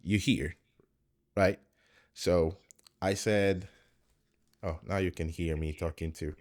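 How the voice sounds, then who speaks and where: A man's voice: deep voice, steady tone, takes it slowly, darkish tone. A man giving a casual, explanatory product demo, often repeating points and checking in with the viewer. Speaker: tech product reviewer.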